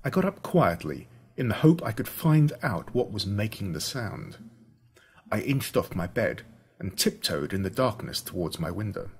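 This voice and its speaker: Aussie guy reading a story out loud, really expressive, deepish husky voice that moves around a lot. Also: moderate pace. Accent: Australian. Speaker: audiobook narrator. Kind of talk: scripted first-person story narration.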